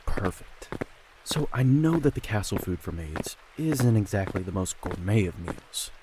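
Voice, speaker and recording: A man playing a gallant deep-voiced suitor. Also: lively intonation, unhurried, background noise. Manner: smooth, gallant and teasing. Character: charming young male castle worker courting a maid. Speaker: voice actor. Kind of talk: amateur audio drama acting.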